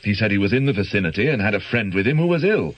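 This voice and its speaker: An anxious, evasive British doctor in a radio drama. His voice is low and somewhat husky, with lively intonation. He speaks formally and guardedly, hesitating as he goes. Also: racing. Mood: confessional, strained. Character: evasive, anxious male doctor with a gambling past.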